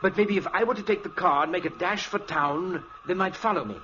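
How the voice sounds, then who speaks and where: An actor playing an obsessed, fearful professor in an old-time radio drama, with grave, intense, theatrical delivery. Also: male voice, high voice, brisk, bright, forceful tone. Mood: grim resolve. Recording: muffled, noisy vintage radio recording.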